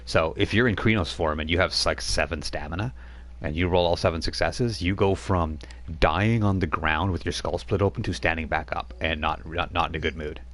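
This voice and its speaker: A man with a very low, deep voice runs a tabletop game. He explains the rules step by step and pauses often with fillers as he talks the players through them. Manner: steady, explanatory, halting with fillers. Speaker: game master.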